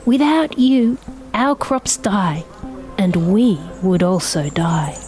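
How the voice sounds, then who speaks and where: A woman voicing an earnest, thoughtful little girl in a storybook, speaking slowly. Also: mid-range voice, big pitch swings, music in the background. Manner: slow, earnest. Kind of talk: storybook character dialogue.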